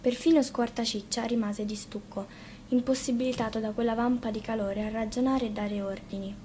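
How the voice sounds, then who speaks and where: A woman's voice: a little high, steady tone, a little fast, mellow. A woman narrating a scripted fairy tale as a storyteller. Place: radio studio. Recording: faint background noise.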